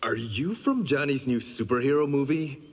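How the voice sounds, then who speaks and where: An actor playing a cocky, unflappable fighter in a video game, with a terse, challenging delivery. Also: male voice, medium pitch, expressive, moderate pace, lightly acted. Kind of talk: video game character acting. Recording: clear background noise.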